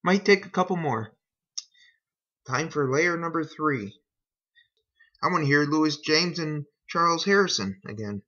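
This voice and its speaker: A man giving a casual how-to narration in an unhurried, folksy way, talking through each step as a hobbyist. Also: a little high, expressive, sharp tone. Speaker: hobbyist demonstrating a repair. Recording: dull, lo-fi home recording.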